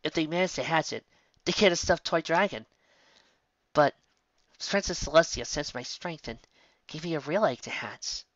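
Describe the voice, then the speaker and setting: A man's voice: on the high side, normal speed, pauses often, a bit bright, no echo, dull audio, voice pushed up, lightly acted. A man voicing a scholarly young unicorn mare in a story, explaining and reading aloud in a careful, earnest way.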